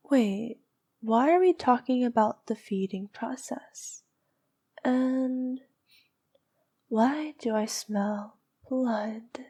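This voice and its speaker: A woman voicing a flirtatious, regal vampire princess in an intimate ASMR roleplay, often slipping toward breathy whispers. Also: on the high side, warm tone, heavily acted. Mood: alarmed, tempted. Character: noble but needy flirtatious vampire princess.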